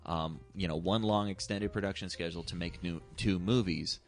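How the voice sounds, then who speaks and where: A man's voice: deep voice, steady tone, normal speed, soft background music. A man talking casually about film as a co-host. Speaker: podcast co-host discussing film.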